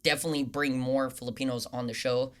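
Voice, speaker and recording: A man's voice: medium pitch, fast. Laid-back man podcast host. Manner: relaxed, leans on "you know", laughs often. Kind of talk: casual podcast chat.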